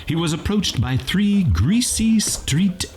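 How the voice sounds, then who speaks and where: A man's voice: sits high, very animated, slow, a little mellow, a little hiss or hum, heavily acted. A man playing the straight-faced narrator of a comic radio play, reading in storybook style.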